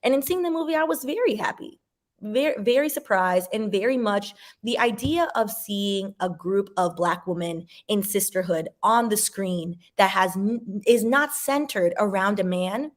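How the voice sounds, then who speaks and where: A woman in her forties casually chatting to viewers and reading comments, with animated emphasis and sudden jumps in pitch. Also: normal speed. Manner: talks to viewers directly, sips wine.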